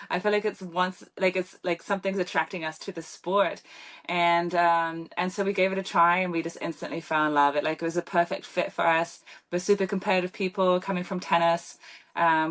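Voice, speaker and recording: A woman's voice: on the low side, fairly bright tone. A young woman with a British accent, chatting as a guest in an interview with many fillers and restarts. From Germany. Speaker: guest, professional pickleball player. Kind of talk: casual interview chat.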